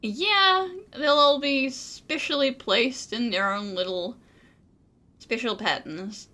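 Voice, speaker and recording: A woman's voice: on the high side, slow, sharp tone. A woman hosting a gaming channel, making casual, wry comments over a story game. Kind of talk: casual let's-play commentary.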